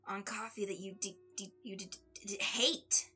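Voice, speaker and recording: A woman's voice: medium pitch, fairly even intonation, normal speed, pushed and bright, natural voice. A stammering young man in an audio story, acted by a woman. He is blunt and matter-of-fact, catching repeatedly on consonants, with music underneath. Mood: pointed, stammering. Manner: stammers on words.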